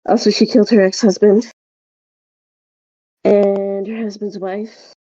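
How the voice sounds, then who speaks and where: A woman with a soft-edged, mellow voice chats casually as a podcast co-host. She rambles and halts as she tells things. The sound is dry and slightly dull, with a lo-fi feel. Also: mid-range voice, little pitch movement, dry recording. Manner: rambling, halting storytelling. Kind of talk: casual chat.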